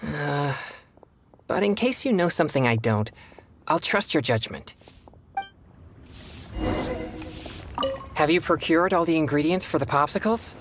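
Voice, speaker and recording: A man voicing a reserved teenage boy exorcist in a video game, earnest, measured and formal in manner. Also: fairly high pitch, lively intonation, fairly bright tone, slight background noise. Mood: hesitant, polite.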